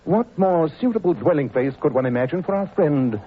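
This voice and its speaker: An actor playing a brisk, commanding Victorian detective in a 1940s radio drama, crisp and dryly cutting. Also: male voice, a little high, lively intonation, natural voice. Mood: grave. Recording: tinny old radio broadcast, faint hiss.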